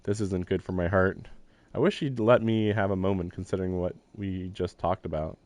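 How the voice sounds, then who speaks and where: A man's voice: sits low, steady tone, a little fast, a little mellow, no room sound. A man streaming a visual novel, reading lines and thinking aloud with wry, self-deprecating asides. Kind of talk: casual let's-play commentary and reading. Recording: live stream with faint game music.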